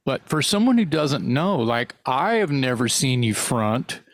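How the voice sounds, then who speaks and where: A man's voice: mid-range voice, big pitch swings, a little slow, no room sound. A man hosting a casual interview, rambling and stammering, with casual swearing. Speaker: podcast host.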